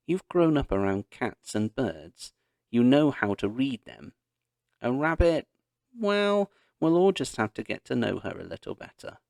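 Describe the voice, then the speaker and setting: A man's voice: mid-range voice, sing-song, a little slow, vocal fry, darkish tone, no echo, pitched above the performer's own voice. A man voicing an easygoing father in a children's story, explaining things reassuringly.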